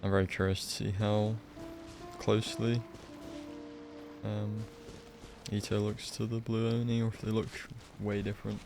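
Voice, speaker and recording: A male game streamer with a lowish, gravelly voice, commenting casually as he plays. He muses in a laid-back way, with clear music behind him. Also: completely flat delivery, normal speed, pauses often. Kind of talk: casual live gameplay commentary.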